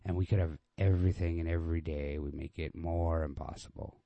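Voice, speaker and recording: A man voicing a weary, resigned young woman with quiet bitterness and a subdued, clipped manner in a story reading. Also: rumbling low, steady tone, brisk, vocal fry, no room sound, dull audio. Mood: wistful.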